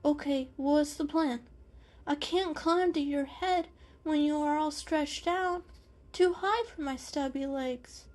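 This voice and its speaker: A woman's voice: sits high, takes it slowly, a little mellow, pitched above the performer's own voice. A woman voicing a small, timid, earnest boy kitten with a hesitant, wide-eyed way of talking in a children's story. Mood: earnest, pleading. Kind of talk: dramatic children's story reading.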